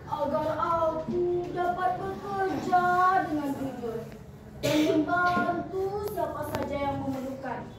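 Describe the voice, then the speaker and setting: A girl playing a kind, mighty old grandfather from a folk tale, calm and gentle, in a high voice. It echoes strongly through a large hall, heard from afar over background noise. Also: takes it slowly. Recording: echoey hall, from far off, noisy.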